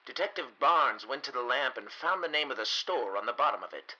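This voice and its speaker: A man giving scripted narration for a 1930s-style radio mystery, telling the story dramatically with deliberate pauses. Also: medium pitch, lively intonation, sharp tone, muffled recording.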